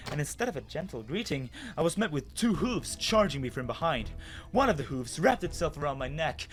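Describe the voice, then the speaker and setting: A man giving an expressive, dramatic first-person reading of a story as its narrator. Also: a little high. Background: music.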